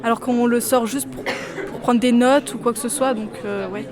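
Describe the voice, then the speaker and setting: A woman's voice: a little high, fairly even intonation, moderate pace. A nineteen-year-old woman from eastern France, a student giving casual interview answers. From Vosges, France. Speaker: social work student being interviewed. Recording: outdoors amid busy crowd chatter. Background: crowd chatter outdoors.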